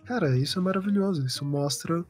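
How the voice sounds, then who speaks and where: A man's voice: fairly high pitch, quick pace, a little mellow, faint music behind. Rambling male designer on a podcast. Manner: loose, rambling explanation with many fillers. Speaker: designer guest. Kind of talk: casual podcast chat.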